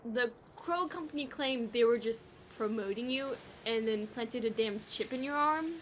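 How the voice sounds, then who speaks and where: Actress playing female faceless ghost, high-pitched, skeptical, blunt, sardonic, audio drama, background noise. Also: moderate pace, close to an everyday voice.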